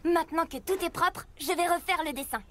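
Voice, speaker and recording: A woman's voice: very high voice, brisk. A woman voicing an enthusiastic artist truck who teaches youngsters with exclamations in a children's cartoon. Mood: instructive, upbeat. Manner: instructive, exclamatory. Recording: music under the voice.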